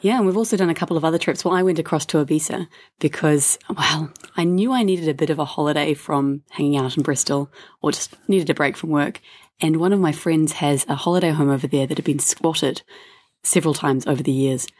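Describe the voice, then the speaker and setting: A woman's voice: sits low, a little fast, a little mellow, no room sound. A woman co-hosting a travel podcast, telling stories in a chatty, easygoing way. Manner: chatty storytelling with laughs and fillers. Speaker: podcast co-host. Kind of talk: casual travel chat.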